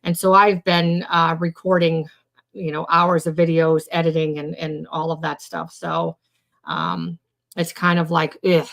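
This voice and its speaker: Raspy-voiced woman teaching on a live stream. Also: deep voice, measured pace, fairly bright tone. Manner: rambling, many fillers and restarts. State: hoarse from days of recording. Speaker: trauma coach hosting a weekly live show.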